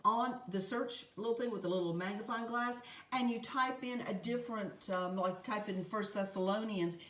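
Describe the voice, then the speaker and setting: Deep-voiced woman co-host, hesitant and chatty, recorded off-mic in an echoey home. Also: normal speed, fairly bright tone. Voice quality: deep, smooth, trained. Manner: chatty, hesitant, many fillers. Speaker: Bible study co-host. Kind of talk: casual conversation. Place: home, phone mic away from her. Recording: roomy phone recording from a distance.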